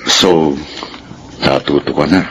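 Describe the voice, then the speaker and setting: A man playing a stern but loving middle-aged father in a Filipino radio drama, speaking gravely and earnestly. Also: a bass voice, steady tone, slow pace, a bit bright, exaggerated voice. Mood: tender, firm. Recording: noisy radio drama recording.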